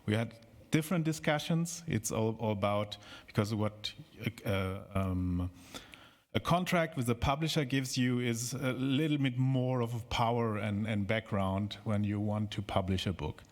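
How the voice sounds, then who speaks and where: A man speaking up from the audience at a conference, halting with many restarts and joking asides. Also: lowish voice. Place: conference hall.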